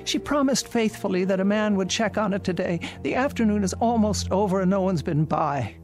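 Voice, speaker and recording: A woman's voice: medium pitch, fairly quick, darkish tone, soft background music, lightly acted. An older actress playing an anxious, insistent, pleading elderly invalid woman in a radio drama. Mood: agitated demanding. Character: frightened, fretful elderly invalid woman living alone.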